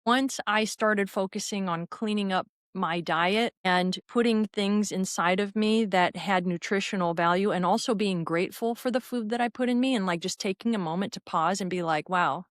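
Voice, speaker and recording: A woman hosting a fitness podcast, talking in an energetic, rambling, emphatic way. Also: mid-range voice, normal speed, few pauses, dry recording, clean studio sound. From raised in a military family. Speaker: podcast host and fitness trainer. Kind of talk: conversational interview.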